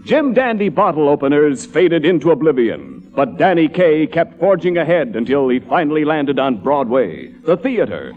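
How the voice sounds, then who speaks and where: An American male announcer narrates in forties radio style. His fairly high voice moves with animated, mock-grand intonation through scripted lines. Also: normal speed, few pauses, phone-quality sound, over music.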